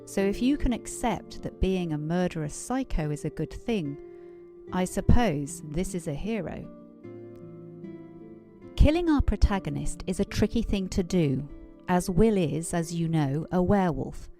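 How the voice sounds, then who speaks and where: A woman plays a sly, self-amused devil telling a tale in an audio drama. The voice is fairly low and soft-edged, taking its time over playful, wordy, theatrical lines. Faint music sits behind it. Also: lively intonation, unhurried. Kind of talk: audio drama narration in character.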